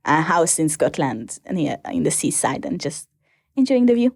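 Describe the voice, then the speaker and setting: A woman's voice: deep voice, big pitch swings, normal speed, a little mellow, breathy edge, no room sound. Woman, Hungarian accent, hesitant, interview guest, dry close mic. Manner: hesitant, many ums and restarts. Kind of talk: casual interview answers.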